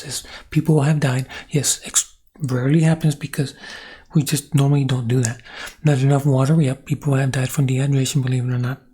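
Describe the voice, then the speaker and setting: A man whose first language is Spanish muses aloud to his viewers, circling back and restarting his thoughts as he goes. His voice sits mid-range, warm and a little husky. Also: medium pitch. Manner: rambling, thinking aloud with many restarts and "right?". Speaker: channel host. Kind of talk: reflective monologue.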